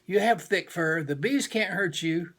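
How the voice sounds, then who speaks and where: A man voicing a proud, encouraging father bear in a children's storybook, coaxing gently and repeating his reassurances. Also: sits high, unhurried, voice pushed up. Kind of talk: children's storybook read-aloud.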